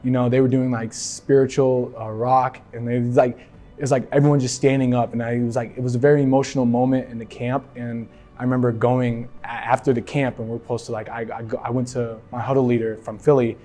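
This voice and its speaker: Mellow-voiced teen guy from Philly. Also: mid-range voice, flat delivery, soft background music. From Philadelphia. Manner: halting, many "like" and "right?" fillers. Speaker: athlete sharing testimony. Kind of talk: casual personal testimony.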